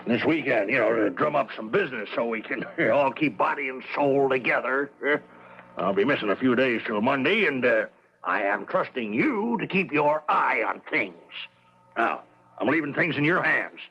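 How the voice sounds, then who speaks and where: A man's voice: medium pitch, expressive, moderate pace, breathy edge, dull audio. An actor playing a domineering older boss in an old-time radio comedy, barking and repeating himself as he stammers along. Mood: stern, blustery. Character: blustery, gruff, domineering older male boss. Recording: old radio broadcast recording.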